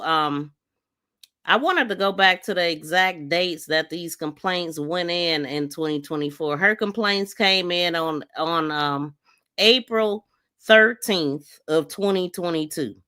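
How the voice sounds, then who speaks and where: Slow-paced, scornful woman podcaster. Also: fairly low pitch, bright, forceful tone. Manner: scornful, exasperated, blunt, swearing; emphatic, repeats phrases mockingly. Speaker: crime podcast host. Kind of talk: casual live commentary on played audio.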